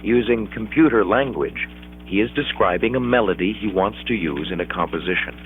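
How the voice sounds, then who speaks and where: A middle-aged American man reading scripted 1970s educational film narration in a measured, explanatory way. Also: on the low side, slight background noise. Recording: dull, dated 16mm film soundtrack.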